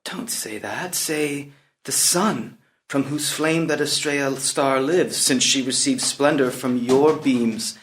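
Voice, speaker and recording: A man's voice: mid-range voice, slow, a little hiss or hum, lightly acted. A man playing a proud, impetuous young prince in a dramatic play reading, declaiming emphatically and floridly. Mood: enraptured, wooing.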